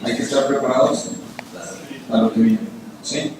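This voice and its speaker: A man's voice: medium pitch, steady tone, moderate pace. A man from Mexico giving a conversational lecture as a guest speaker, putting questions to his audience. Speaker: guest speaker, business consultant. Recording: echoey hall, distant mic, background noise.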